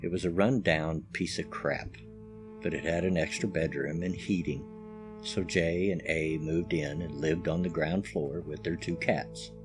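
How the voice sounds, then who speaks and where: Very deep-voiced man narrating over music. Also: fairly even intonation, unhurried. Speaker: story narrator. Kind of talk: first-person scary story narration.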